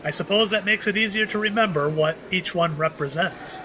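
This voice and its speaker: A man's voice: sits high, brisk, noisy background, lightly acted. A man playing a mysterious, ageless shopkeeper in an audio drama, knowing and slyly wry, dropping cryptic, teasing hints. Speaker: actor in an audio play.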